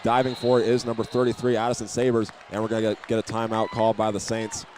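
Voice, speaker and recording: A man's voice: lowish voice, monotone, fast, darkish tone. Male basketball play-by-play man, faint gym noise. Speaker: play-by-play sports broadcaster. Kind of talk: live basketball play-by-play commentary. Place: indoor high school basketball arena.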